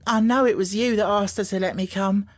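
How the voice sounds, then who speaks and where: A woman's voice: mid-range voice, fairly even intonation, a little fast, pitched above the performer's own voice, close to an everyday voice. A woman voicing a timid, awed young servant girl, breathless and deferential, in an audiobook. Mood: tearful gratitude. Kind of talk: audiobook character acting.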